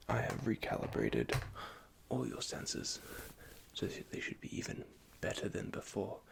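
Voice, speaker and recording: A man playing a nervous, caring android repair technician in an ASMR roleplay, speaking in a soft, breathy half-whisper with hesitant stammers. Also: lowish voice, sing-song, normal speed, heavily acted. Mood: apologetic, fussing.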